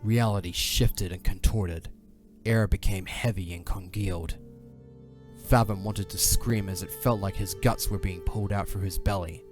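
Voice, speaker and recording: Casting: male narrator, very low voice, solemn and measured dramatic storytelling over prominent music. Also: steady tone.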